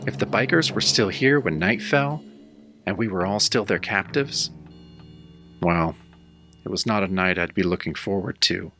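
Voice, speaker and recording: A man's voice: deep voice, normal speed, long pauses, bright, forceful tone, faint music behind. An actor playing a battered, wry tough-guy hero, narrating his own pulp horror tale in a radio-style audio drama. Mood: wry, weary. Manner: wry, hard-boiled first-person storytelling.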